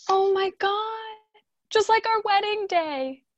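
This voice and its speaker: An actress playing a tender, forgiving, emotional young wife in a radio drama. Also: female voice, extremely high pitch, drawn-out words, no echo, highs cut off, exaggerated voice. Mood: playfully shocked.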